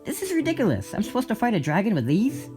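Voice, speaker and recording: A man's voice: sits high, huge pitch swings, fast talker, voice pushed up. A man voicing a frightened, doubtful thirteen-year-old boy in a dramatized story, with anxious pleading and music behind. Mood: incredulous.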